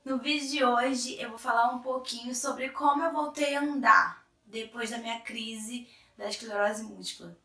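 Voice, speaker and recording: A young Brazilian woman with a hoarse cold voice, chatting to camera as a vlogger in a chatty, self-interrupting way. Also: fairly high pitch, normal speed, hardly any pauses, pushed and bright, far from the mic. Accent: Brazilian Portuguese. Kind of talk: casual chat to camera. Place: small room indoors. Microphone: camera in front at medium distance. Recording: echoey room, a little off-mic.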